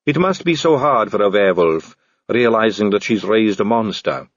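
A man's voice: on the low side, normal speed, no room sound, highs cut off. A man doing a cool, languid lady vampire. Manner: calm, languid, drawling. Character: female vampire aristocrat, cool and sardonic.

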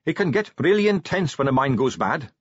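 A man's voice: a little high, big pitch swings, brisk, dry recording, pitched above the performer's own voice. Guy doing a keen polite young captain, eager, says sir a lot. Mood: earnest. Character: earnest young male watch captain, dutiful. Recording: dry, slightly dull close-mic.

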